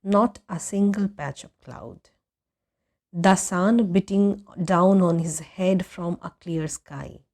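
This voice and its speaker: Slow, expressive woman storyteller. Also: lowish voice. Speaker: audiobook reader. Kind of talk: expressive scripted story narration.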